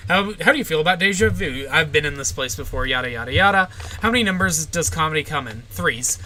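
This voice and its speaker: A young woman with a general American accent, streaming live and reading viewer questions aloud. She gives short, deadpan answers with plenty of "uh" and "like". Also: sits low, fast, slight background noise, low-quality recording. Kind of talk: casual livestream Q&A, answering chat.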